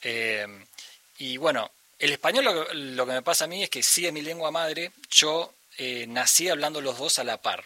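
Man, Argentine accent, musician guest, reflective, searching for words, very bright tone. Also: a little high, normal speed, dry recording. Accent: Argentine Spanish. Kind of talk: reflective conversational interview.

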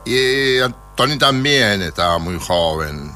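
A man's voice: low-pitched, very animated, a little slow, pushed and bright, soft background music. An older Argentine man with a Rioplatense accent, co-hosting a radio show and chatting with curious questions. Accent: Rioplatense Spanish. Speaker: radio co-host. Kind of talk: casual radio chat.

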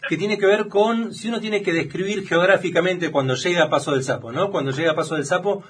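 A man's voice: high voice, fast talker, slightly rough. A man with an Argentine accent hosting a casual radio interview, asking long rambling questions with restarts. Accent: Argentine Spanish. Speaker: radio host.

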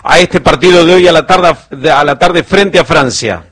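A man's voice: a little high, normal speed, fairly bright tone, breathy edge. A man with an Argentine accent hosting live sports talk and interviews on the radio. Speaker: radio host.

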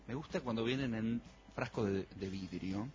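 A man's voice: fairly low pitch, normal speed, highs cut off, music in the background. A man with an Argentine accent co-hosting a radio show in a playful way, reading listener messages. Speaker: radio co-host. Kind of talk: casual radio talk.

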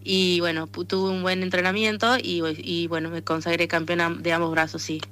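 A woman's voice: fairly low pitch, little pitch movement, normal speed, a bit bright, slight background noise. A woman with a Tucumán accent from northern Argentina, answering as an athlete in a conversational radio interview. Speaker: interviewed athlete, arm wrestler and association president.

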